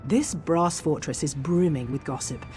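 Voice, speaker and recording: A woman's voice playing a wry young dark elf explorer, speaking casually in scripted game dialogue. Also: deep voice, moderate pace, soft background music, natural voice.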